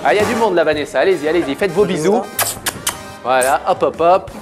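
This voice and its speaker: A man's voice: a little high, lively intonation, quick pace, loud music behind the voice. A man presenting a chat show on a studio set in a playful, teasing and theatrical way. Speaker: talk show host.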